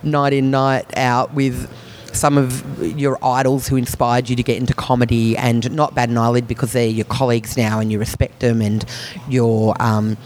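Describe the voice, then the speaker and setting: A man who is a comedian, talking on a podcast in a halting way, with many restarts and frequent laughs. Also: mid-range voice, little pitch movement, a little mellow. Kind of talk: podcast conversation.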